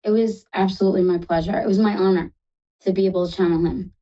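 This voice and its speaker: Fast-talking New York woman host. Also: medium pitch, steady tone, dark tone, dull audio. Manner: fast-talking, reassuring, affectionate. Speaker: psychic radio host. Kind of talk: live call-in psychic reading.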